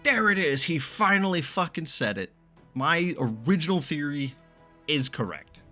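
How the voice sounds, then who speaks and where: A man doing casual reaction commentary on a story game, excitable and sweary as he thinks aloud. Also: a little high, moderate pace, faint music behind.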